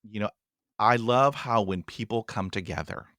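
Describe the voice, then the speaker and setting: A man's voice: lowish voice, moderate pace, fairly bright tone, no echo, clean studio sound. A man in his forties hosting a podcast interview, talking earnestly with a stutter and repeating himself for emphasis. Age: 40s. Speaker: podcast host.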